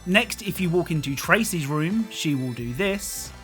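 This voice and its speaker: A man's voice: on the high side, expressive, unhurried. A man reading scripted video game commentary in a dryly humorous way. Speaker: video narrator. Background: music.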